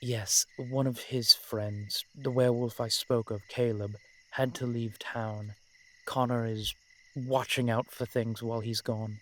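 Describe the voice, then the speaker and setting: A man playing a brooding, protective vampire in an audio roleplay, speaking in formal, measured phrases with dramatic pauses. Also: mid-range voice, little pitch movement, slight background noise, natural voice, low-quality recording. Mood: grave, worried. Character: brooding, protective male vampire, old-fashioned and frustrated. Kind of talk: scripted audio roleplay acting addressed to the listener.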